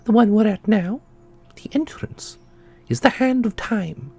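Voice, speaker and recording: A man voicing a solemn, knowing keeper of an ancient magical order in a fantasy audio roleplay, speaking in a formal, measured way. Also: sits high, lively intonation, creaky, dark tone, breathy edge, exaggerated voice. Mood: solemn, wistful. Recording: music under the voice.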